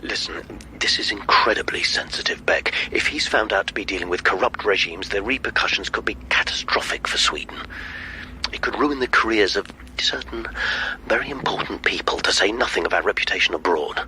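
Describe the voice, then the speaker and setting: An actor playing an anxious, impatient senior police chief in a radio drama, with an urgent, insistent manner. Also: male voice, lowish voice, brisk, sharp tone, slight background noise, poor audio.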